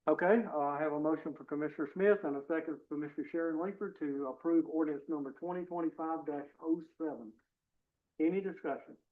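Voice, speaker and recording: A man's voice: on the high side, fairly even intonation, fast. Plainspoken man chairing a meeting. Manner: plainspoken, procedural. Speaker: board chair running the meeting. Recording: phone-like meeting audio.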